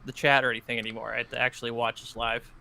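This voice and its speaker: A man's voice: mid-range voice, level tone, racing, creaky, bright, forceful tone. A man co-hosting a casual podcast, congested and nasal, teasing his co-host in a dry, sarcastic way. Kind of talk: casual chat.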